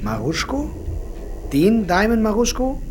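A man's voice: sits high, wildly swooping pitch, unhurried, heavily acted. A man playing a grumpy, bewildered police officer, colloquial and sarcastic, in a radio drama. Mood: irritated, sarcastic. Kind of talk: naturalistic radio drama acting. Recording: clear background noise.

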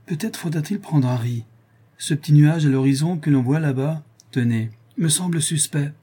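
A man's voice: on the high side, moderate pace, warm tone, husky, voice pushed up. A man voicing a sly, servile sailor in a storybook, speaking in a falsely meek, evasive manner. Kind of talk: acted audiobook character dialogue.

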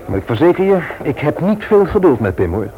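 Stern, indignant brother-in-law, noisy recording. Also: male voice, fairly high pitch, sing-song, a little fast, narrow, tinny audio. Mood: grave, indignant. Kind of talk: Dutch radio play acting. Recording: very noisy old radio play recording.

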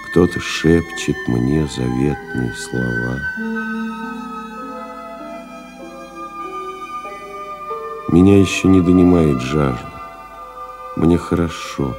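An actor playing a weary, stoic pilot stranded in the desert, narrating gravely and deliberately in an audio play, with music behind him. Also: male voice, extremely low pitch, full character voice. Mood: drowsy, dreamy. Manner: grave, deliberate, brooding, with pauses. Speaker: actor narrating in first person.

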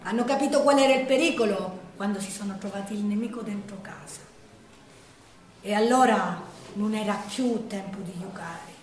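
A woman's voice: medium pitch, moderate pace. A woman with a Sicilian accent performing dramatic theatrical storytelling in verse, declamatory and lamenting. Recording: echoey room, distant from the mic.

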